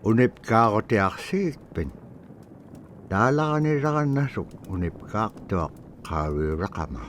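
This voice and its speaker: A man telling a traditional tale as the narrator of a game, in a slow, measured storytelling cadence. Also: on the low side, animated, a little hiss or hum. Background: music.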